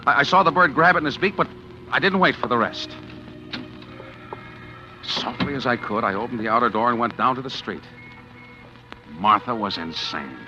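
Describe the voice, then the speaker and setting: A man's voice: medium pitch, animated, normal speed, pauses often, music in the background, lightly acted. An actor playing a desperate, scheming man who narrates his own story in a 1940s radio drama. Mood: tense. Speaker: narrating lead character. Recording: dull old radio broadcast with hiss.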